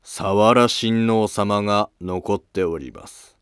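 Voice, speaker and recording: A man's voice: on the low side, animated, takes it slowly, a bit bright, clean tone, dry recording, studio quality, soft background music, heavily acted. A man playing a polite, probing court noble in a historical drama, speaking in formal, deferential, insinuating phrases. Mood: cautioning. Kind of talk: scripted historical drama dialogue.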